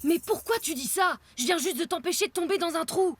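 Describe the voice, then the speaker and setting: A woman's voice: very high voice, fairly quick, background noise. A woman voicing an earnest, friendly young boy in a cartoon, exclamatory in manner, with music behind the voice. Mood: indignant. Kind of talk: French-dubbed cartoon acting.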